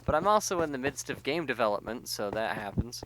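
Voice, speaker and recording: Male let's-play co-host, mid-pitched, rambling and playful, casual delivery, clearly noisy recording. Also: big pitch swings, fairly quick. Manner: playful, rambling, breaks into mock singing and silly quotes. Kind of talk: casual group banter while gaming.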